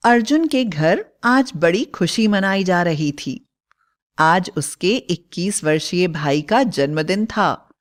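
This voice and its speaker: A woman narrating a scripted bedtime story as a storyteller. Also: fairly low pitch. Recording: faint music bed. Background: soft background music.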